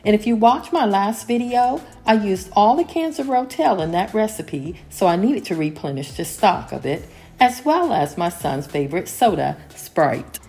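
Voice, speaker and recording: A woman narrating a home cleaning vlog as a calm, scripted voice-over, steady and homey, with lines that fall at the end. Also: mid-range voice, moderate pace. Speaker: cleaning vlogger.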